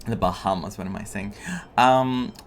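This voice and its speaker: A man's voice: on the low side, moderate pace, slight background noise. A man giving casual reaction commentary as a gaming streamer, repeating himself with theatrical exasperation. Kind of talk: casual reaction commentary over a story game.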